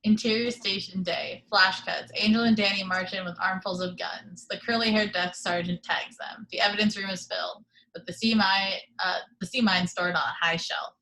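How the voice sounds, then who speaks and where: Bright woman reading stage directions. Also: mid-range voice, moderate pace, few pauses, rough recording. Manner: steady, matter-of-fact reading. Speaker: reader of the action description. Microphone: video call.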